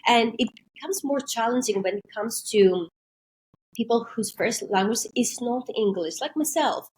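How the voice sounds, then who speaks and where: Woman on a podcast, measured and explanatory, keeps rephrasing herself. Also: on the high side, expressive, darkish tone, low-quality recording. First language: Greek. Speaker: podcast guest, maritime trainer. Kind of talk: podcast interview.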